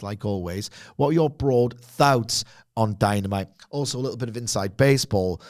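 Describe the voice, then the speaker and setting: A man co-hosting a wrestling podcast, his voice hoarse from illness, chatting casually and joking with fillers. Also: lowish voice, a little mellow. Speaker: podcast co-host.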